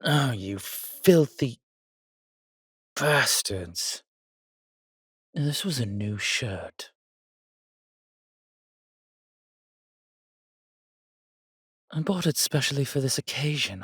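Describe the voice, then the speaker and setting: A man's voice: medium pitch, animated, slow pace, a bit bright, husky, no echo, clean studio sound, exaggerated voice. A man playing an arrogant, menacing vampire warrior in an audio roleplay, haughty and theatrical, with suave affection for the listener. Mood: haughty outrage. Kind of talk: dramatic roleplay monologue, addressing a listener.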